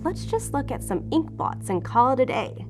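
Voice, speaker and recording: A woman's voice: high voice, very animated, moderate pace, soft background music. Sardonic, guarded woman agent. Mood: sarcastic. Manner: sardonic, deflecting, casual and blunt. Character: guarded, sarcastic female agent in forced therapy. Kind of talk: naturalistic audio drama acting.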